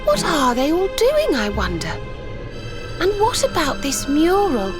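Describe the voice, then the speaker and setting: An actress voicing a gentle, thoughtful young adventurer, polite and hesitant, in a video game. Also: female voice, high-pitched, huge pitch swings, unhurried, full character voice. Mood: wondering. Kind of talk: video game cutscene acting. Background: background music.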